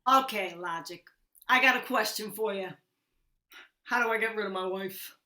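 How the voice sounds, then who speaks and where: A woman playing a wisecracking, henpecked working man in a slangy, animated 1950s radio-drama style. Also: medium pitch, normal speed, slight background noise, close to an everyday voice. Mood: uneasy.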